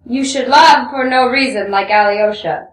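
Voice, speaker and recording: A woman's voice: fairly high pitch, normal speed, lightly acted. A woman voicing a spiteful young man who covers his embarrassment with swagger and snarls. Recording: echoey room, far from mic.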